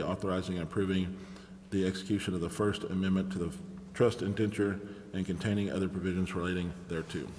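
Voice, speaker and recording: A man's voice: deep voice, a little mellow. An American man chairing a city council meeting, formally reading agenda items and calling for votes. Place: large indoor council chamber. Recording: echoey council chamber, clicks, background noise.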